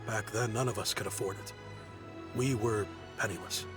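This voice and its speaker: An actor playing a proud yet kindly merchant in a video game, speaking in a friendly, reflective, softly rueful way. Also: male voice, lowish voice, fairly even intonation, normal speed, lightly acted. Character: nostalgic grown-up male merchant, proud but kindly. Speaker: businessman. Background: game music.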